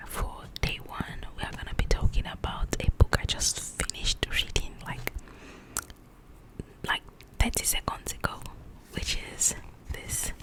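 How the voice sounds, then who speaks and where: A woman speaking in a breathy, unvoiced whisper as an ASMR host, chatting informally and directly to her viewers in a rambling, casual way. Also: a little slow, poor audio.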